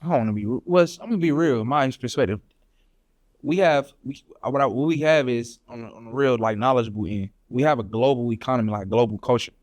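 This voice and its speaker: A man's voice: mid-range voice, fast, no echo, studio quality. A young man from Atlanta, a podcast guest casually telling travel stories, full of slang and "you know what I'm saying". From Atlanta, Georgia. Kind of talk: casual storytelling chat.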